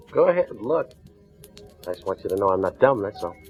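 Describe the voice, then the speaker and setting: A man's voice: fairly low pitch, very animated, a little slow, darkish tone, faint music behind. A man playing a cool, wisecracking murder suspect in a 1940s radio crime drama, laconic and defiant. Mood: cool, cocky. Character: cocky, unruffled male murder suspect, wisecracking and streetwise. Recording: dull, muffled vintage radio recording.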